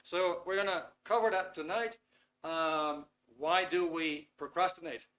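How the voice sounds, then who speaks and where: A man's voice: fairly high pitch, fairly even intonation, unhurried, sharp tone. A man from the Netherlands with a Dutch accent, hosting a live webinar from a small studio, chatting casually with lots of fillers and reading viewers' messages aloud. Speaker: webinar host. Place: small warm studio under lights.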